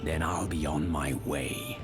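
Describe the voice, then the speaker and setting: A grim fantasy game warrior, very low and unhurried. His speech is solemn, blunt and formal. Loud music plays behind him. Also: steady tone. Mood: stern. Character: grim, blunt male warrior hero speaking of death.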